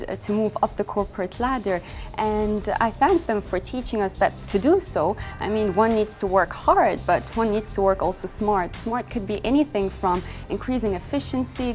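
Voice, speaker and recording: A woman's voice: medium pitch, a little mellow. Earnest young woman, faint music behind. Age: young adult. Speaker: fashion brand founder. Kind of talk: interview monologue.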